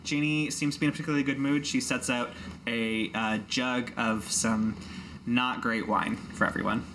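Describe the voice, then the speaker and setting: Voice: medium pitch, steady tone, moderate pace, fairly bright tone. A game master casually narrating a tabletop roleplaying game to the players. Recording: noticeable background noise.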